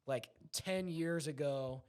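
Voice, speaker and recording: A man's voice: on the high side, takes it slowly, a little mellow. A man chatting casually, retelling anecdotes in an enthusiastic, rambling way.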